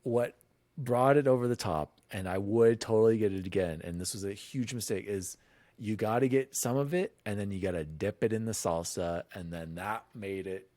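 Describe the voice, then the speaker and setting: A man hosting a casual gaming podcast, telling a food story with animated, drawn-out emphasis. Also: on the low side, no echo. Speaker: podcast host. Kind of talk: casual chat.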